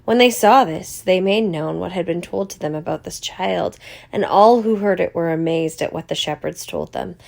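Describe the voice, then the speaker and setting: A woman reads scripture in a church service in a formal style. Her voice sits a little low, with a noticeable creak. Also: moderate pace.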